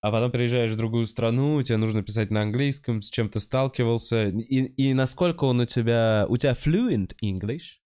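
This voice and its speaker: A man hosting a casual interview, asking relaxed questions with fillers. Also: medium pitch, a little fast, few pauses, clean tone. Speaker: host.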